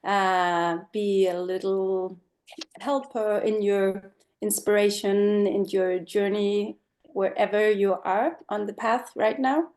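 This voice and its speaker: A woman's voice: medium pitch, fairly even intonation, takes it slowly, darkish tone. A middle-aged woman from Denmark giving a presentation over a video call, speaking thoughtfully with many fillers. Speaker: guest speaker. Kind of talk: online presentation talk.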